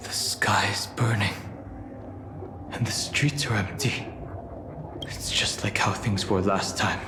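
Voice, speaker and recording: A man's voice: fairly low pitch, fairly even intonation, slow, background noise. A man voicing a wary, resolute traveler in a video game, grave and pointed with a dry, sardonic edge. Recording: echoey, with music.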